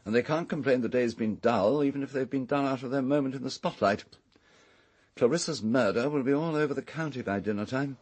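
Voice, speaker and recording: Husky, haughty English gentleman played by a man. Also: medium pitch, close to an everyday voice. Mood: brisk, lordly. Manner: pompous, fastidious, measured formal speech. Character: urbane, haughty English island castle owner, coolly sardonic. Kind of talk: radio drama acting.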